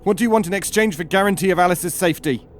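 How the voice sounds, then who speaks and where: A frightened prison chaplain played by a man pleads desperately in a horror audio drama. His urgent voice sits fairly high and a little distant, with clear music underneath. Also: very fast, natural voice. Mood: desperate pleading. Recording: distant from mic, music behind.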